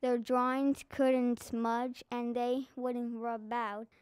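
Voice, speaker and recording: A high-voiced female reader doing a scripted read-aloud of a children's book. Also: flat delivery, slow pace, darkish tone, clean tone, dry recording.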